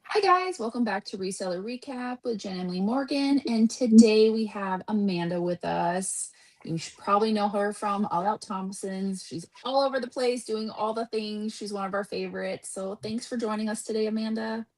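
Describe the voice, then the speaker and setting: Woman host, mid-range voice, friendly, quick laughing interjections. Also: mid-range voice, a little mellow, poor audio. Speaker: podcast co-host. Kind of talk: casual chat.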